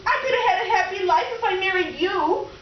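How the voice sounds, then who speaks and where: Woman acting high-voiced, melodramatic old flame. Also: animated, a little fast. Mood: dramatic, needy. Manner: gushing, theatrical, swinging from laughter to tears. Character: overwrought, clingy middle-aged old flame, melodramatic and unhappy. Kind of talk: comic stage-play reading. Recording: roomy, distant, muffled noisy live recording.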